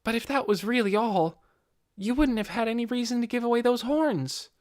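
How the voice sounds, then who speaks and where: A man's voice: very high-pitched, moderate pace, no echo, studio quality, pitched above the performer's own voice. A man voicing an earnest, admiring teenage boy, polite and youthful, in a fantasy audiobook. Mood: earnest, grateful.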